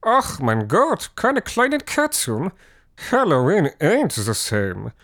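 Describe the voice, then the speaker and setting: Brief: eccentric sing-song presenter, put on by a man, slow and dry. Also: fairly high pitch, huge pitch swings, a bit bright, no echo, pitched above the performer's own voice, full character voice. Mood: excited.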